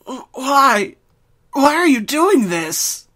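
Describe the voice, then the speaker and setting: Man voicing a high, sobbing, terrified girl pony. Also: very animated, sharp tone, heavily acted. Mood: frantic. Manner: frantic, halting. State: crying, hiccuping. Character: terrified, pained young female pony, pleading victim.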